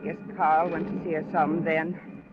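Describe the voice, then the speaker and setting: Weary bitter widow, defensive, evasive, plain-spoken, old radio drama, dull sound, light background noise. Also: female voice, sits low, level tone, measured pace. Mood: bitter, resigned. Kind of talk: 1950s radio drama acting. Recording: dull vintage radio recording, faint noise.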